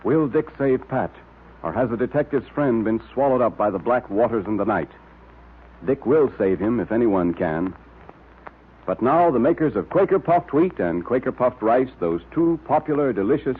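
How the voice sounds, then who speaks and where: An American man announcing and pitching in 1930s radio style. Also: husky. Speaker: announcer and sponsor spokesman. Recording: tinny old radio recording.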